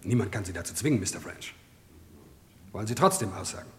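An actor playing a stern, accusing prosecutor in an old German radio crime drama, questioning formally and pressing hard. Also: male voice, fairly low pitch, lively intonation, fast, a little hiss or hum, natural voice. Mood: formal, probing. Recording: vintage radio play, long hall reverb.

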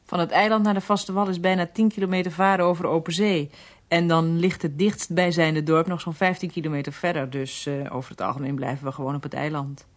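A woman's voice: low voice, fairly even intonation, brisk, natural voice. An actress playing a reserved young woman, a worried daughter, in a Dutch radio play, with a Netherlands Dutch accent. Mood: hesitant.

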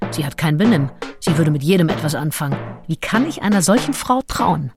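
A woman's voice: low-pitched, lively intonation, brisk. An actress playing a passionate, self-questioning Englishwoman of the early 1800s who confides her diary in an intimate, introspective way in a radio play. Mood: troubled, jealous. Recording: music behind the voice. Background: music with drums.

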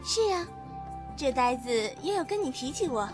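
A woman's voice: sits high, expressive, normal speed. A woman playing a bold, girlish dragon princess, pert and teasing, in a Chinese fantasy radio drama. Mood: playful delight. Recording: music under the amateur drama voice. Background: background music.

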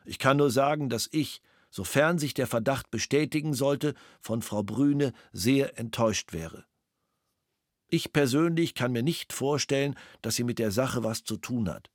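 A man's voice: medium pitch, a little slow, no room sound, natural voice. Man voicing a male witness, formal statement, matter-of-fact, radio play, dry studio. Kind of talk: reading a police statement.

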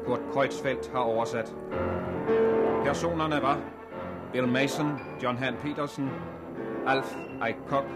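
A man announcing cast and credits formally, in the style of 1960s Danish radio. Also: medium pitch. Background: loud music with guitar.

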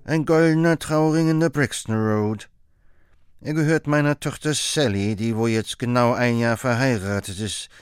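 A man's voice: medium pitch, normal speed, clean tone, no echo, studio quality, pitched above the performer's own voice, lightly acted. A man voicing a rambling, wrinkled old woman. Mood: chatty. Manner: folksy, rambling common speech.

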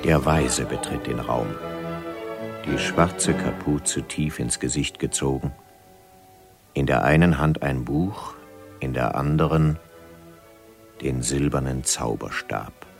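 A man narrating a radio drama as a storyteller, measured and suspenseful. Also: rumbling low, creaky, soft background music, full character voice.

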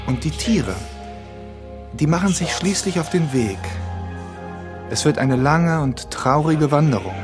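A man's voice: fairly high pitch, lively intonation, a little slow, long pauses, music in the background. A man acting in a naturalistic radio drama as a sentimental, rambling character who coaxes and tells stories to a child. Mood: storytelling. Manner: coaxing, hesitant, storytelling. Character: rambling, sentimental man talking to a little girl.

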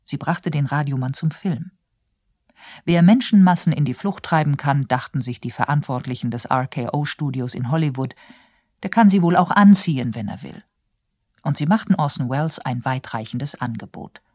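Low-voiced woman narrating, dry studio sound. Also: expressive, normal speed, mellow, dry recording. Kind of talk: scripted radio documentary narration.

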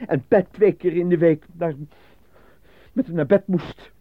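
A man's voice: a little high, steady tone, a little slow, no room sound, lightly acted. A man playing a desperate, embittered prisoner under questioning in a radio drama, protesting his innocence with weary emotion. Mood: desperate, bitter. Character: desperate, embittered male actor being interrogated, pleading innocence. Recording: dry, phone-like narrowband radio play recording.

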